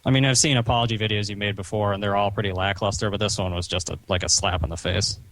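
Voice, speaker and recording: A man talking on a recorded phone call in a stern, chiding, halting way, padding his sentences with "you know". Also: on the low side, steady tone, fairly bright tone. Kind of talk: phone conversation, reproachful lecturing.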